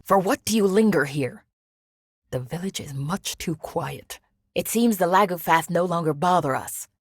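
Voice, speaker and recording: An actor voicing a proud warrior in a fantasy video game, with a gravelly, husky voice. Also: male voice, fairly high pitch, lively intonation, moderate pace, no echo, studio quality. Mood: proud, restrained.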